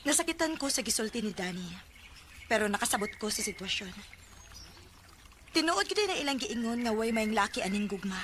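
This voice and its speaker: A woman's voice: a little high, sing-song, brisk, pauses often. An actress playing an anxious, tender young working woman in a Filipino radio drama, speaking with a Bisaya accent. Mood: sad, resigned. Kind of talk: Filipino radio drama acting and first-person narration. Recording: noisy radio drama with ambient effects.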